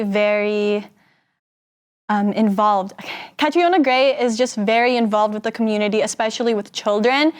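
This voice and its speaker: A seventeen-year-old woman, a pageant titleholder, answering interview questions in an upbeat way and quoting her own thoughts. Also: fairly high pitch.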